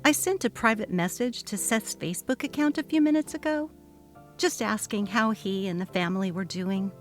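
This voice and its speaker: A woman's voice: mid-range voice, normal speed, soft background music. A woman giving a dramatic reading of a story told in dialogue. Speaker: narrator of short stories.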